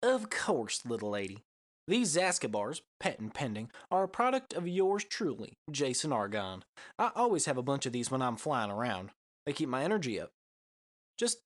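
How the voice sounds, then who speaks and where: A woman's voice: very deep voice, exaggerated voice. A woman voicing a cocky, chatty, folksy male ace pilot in a space audio drama. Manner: breezy, boastful, folksy.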